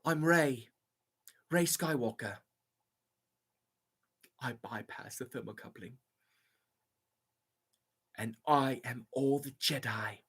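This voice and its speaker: A man voicing a self-important young woman Jedi hero in a flat, quiet, mocking delivery. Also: mid-range voice, takes it slowly, long pauses, husky, exaggerated voice. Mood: smug.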